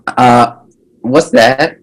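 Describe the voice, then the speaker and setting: A man's voice: mid-range voice, big pitch swings, drawn-out words, vocal fry, slightly rough, full character voice, poor audio. A man reading the part of a cocky, mischievous teenage boy at a script table read, teasing and sarcastic. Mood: smug, teasing.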